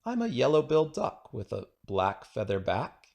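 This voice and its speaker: Animated man reading rhymes, slightly gravelly. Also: mid-range voice, moderate pace. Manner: playful, singsong, rhythmic delivery. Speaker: children's book reader. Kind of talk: read-aloud of children's rhyming poems.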